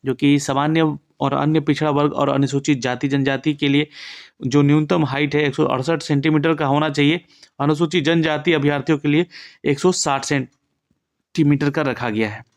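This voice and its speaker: A man's voice: a little high, fairly even intonation, normal speed. Man explaining step by step. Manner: instructive, often checks in and reminds listeners. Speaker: presenter of a job exam information channel. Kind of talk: informal explainer, walking viewers through an official notice.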